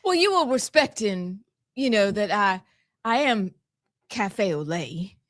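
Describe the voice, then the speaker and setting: A woman's voice: mid-range voice, animated, slow pace, dry recording, clean studio sound. A woman hosting a celebratory talk show in a playfully ceremonious manner, speaking with a put-on British accent. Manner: mock-formal, playfully ceremonious. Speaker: show host.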